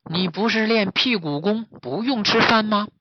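A storyteller voicing a timid, earnest little pig in a children's story, high and loud. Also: moderate pace, no room sound, dull audio, lightly acted. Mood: puzzled.

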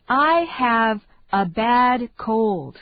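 Slow, deliberate woman drilling phrases. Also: a little high, lively intonation, no echo. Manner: slow, deliberate, carefully enunciated. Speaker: English phrase reader. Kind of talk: slow repeat-after-me drill. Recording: dry, tinny phone-like sound.

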